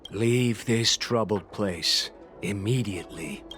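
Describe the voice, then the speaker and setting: A man's voice: fairly low pitch, slow, fairly bright tone, soft background music. A man playing a stern, guarded official in a video game, formal and admonishing. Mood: stern, warning. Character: stern, guarded male official of an alchemy guild.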